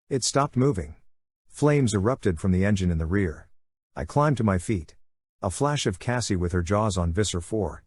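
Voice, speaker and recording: A man reads a dramatic first-person story as an audiobook narrator. His voice sits fairly low and has a husky grain. The sound is very dry, with no room around it. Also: darkish tone, dry recording.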